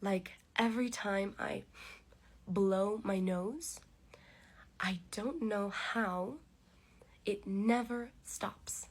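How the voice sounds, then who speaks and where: A woman hosting a slow English podcast, speaking deliberately with pauses, congested and with a cough. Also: medium pitch, husky. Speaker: podcast host teaching English. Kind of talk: slow, clear English listening lesson.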